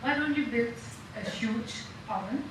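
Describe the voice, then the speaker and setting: A woman with a Danish accent speaking as a panelist in an informal talk, halting and full of fillers. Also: a little high. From Denmark. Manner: halting, with many fillers and soft laughs. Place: lecture room. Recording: echoey room from a distant mic, noisy.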